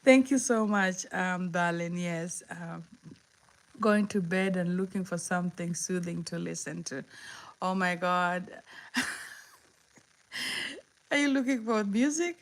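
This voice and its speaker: A woman in her fifties with a Kenyan accent, hosting a casual live chat. She talks in a chatty, encouraging way, laughs often and answers viewers' comments. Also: lowish voice. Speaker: livestream host.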